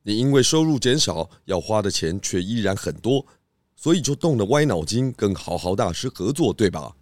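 An actor voicing a calm, confident detective pig who explains the case in a children's radio drama. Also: male voice, fairly low pitch, moderate pace, no room sound, studio quality. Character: calm clever pig detective, male adult. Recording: music bed under voice.

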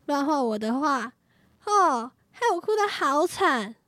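A woman's voice: high-pitched, sing-song, measured pace, noisy background. A teenage girl acting a teasing, dramatic grown-up older sister in a radio drama. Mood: mock indignant. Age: teens.

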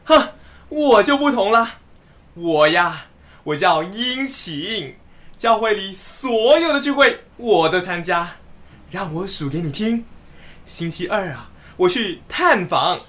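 A woman playing an eager, naive and boastful churchgoer in a radio drama, speaking in a showy, drawn-out way. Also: mid-range voice, animated, a bit bright. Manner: showy, drawn-out, singsong counting off. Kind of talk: scripted Mandarin radio drama acting.

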